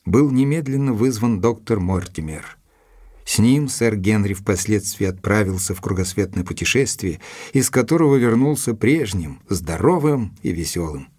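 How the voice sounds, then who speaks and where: An actor playing a sober male doctor who narrates a detective story in measured, literary phrasing for an audio drama. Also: fairly low pitch, expressive, a little mellow, breathy edge, no room sound. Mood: measured, grave. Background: music.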